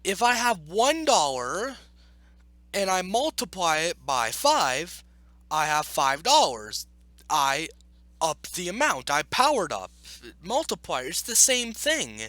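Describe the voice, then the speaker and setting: A man with a high-pitched, bright voice hosts a casual reaction video. His delivery swings up and down a lot as he argues his points emphatically and circles back to repeat them. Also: very animated, takes it slowly, no room sound. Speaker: video host reacting to an article. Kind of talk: opinionated casual commentary with read-aloud passages.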